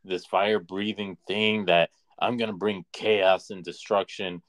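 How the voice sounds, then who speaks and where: A man co-hosting a podcast in casual conversation, opinionated and halting as he restarts his points. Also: deep voice, level tone, fairly bright tone. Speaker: podcast co-host. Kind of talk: casual chat.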